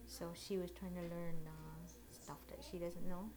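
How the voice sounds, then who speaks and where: A woman in her late thirties speaks as an interviewee in a casual conversation. Her voice sits a little low for a woman. She is plain-spoken and halting, and keeps her answers short. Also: flat delivery. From Oneida. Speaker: interviewee, basket maker.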